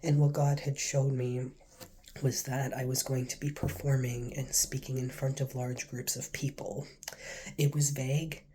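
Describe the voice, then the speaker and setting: A man with a cold and a stuffy nose, telling his faith testimony in a casual, earnest, rambling way, now and then dropping to a soft whisper. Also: mid-range voice, breathy edge. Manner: earnest, rambling, heavy with fillers. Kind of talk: casual spoken testimony to camera.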